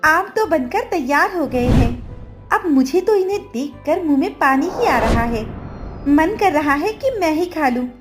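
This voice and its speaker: Woman voicing a high, dramatic young mango seller. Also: expressive, a little slow, over music. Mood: cheerful. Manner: dramatic, emphatic delivery. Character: young woman mango seller, pleading then defiant daughter-in-law. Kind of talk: Hindi cartoon horror story acting.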